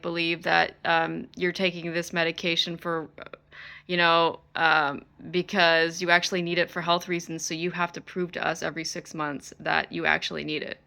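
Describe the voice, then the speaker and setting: A woman's voice: lowish voice, vocal fry, sharp tone, clear, resonant tone. A woman vlogging to camera, stressed and exasperated, venting with frequent swearing. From United States. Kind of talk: ranting to camera.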